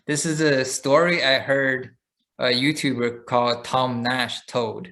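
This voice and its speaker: A man's voice: medium pitch, steady tone, unhurried, fairly bright tone. A man co-hosting a podcast, chatting casually and telling a long story with frequent fillers and restarts. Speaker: podcast co-host. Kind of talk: casual chat.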